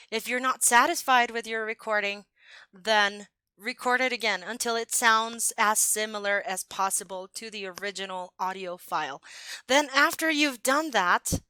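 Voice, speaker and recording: A young adult woman with an American accent teaches English in a clear, upbeat way, stressing example phrases so learners can copy them. Her voice sits a little high and sounds bright and forward. The recording is dry and close, with no room sound. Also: a little slow, no room sound. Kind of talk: online English lesson.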